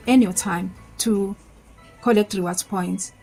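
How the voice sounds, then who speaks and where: A woman's voice: medium pitch, slow pace, mellow, soft background music. Promotional woman from South Africa. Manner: explanatory, promotional. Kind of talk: video testimonial.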